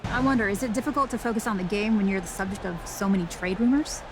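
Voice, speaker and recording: An actress playing a brisk, attentive female sports reporter, asking fluent and polite post-game questions in a video game. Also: medium pitch, natural voice. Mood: inquiring. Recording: noisy background ambience.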